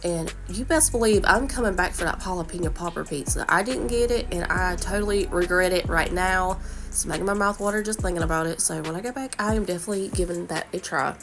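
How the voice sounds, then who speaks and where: A woman in her thirties talks through a shopping haul in an easy, chatty voice-over, her voice a little stuffy from a cold. Her tone sits low and carries a gravelly edge. Bright background music plays clearly under her voice. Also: quick pace. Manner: chatty, upbeat product chatter. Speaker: vlogger. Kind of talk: casual shop-with-me voice-over.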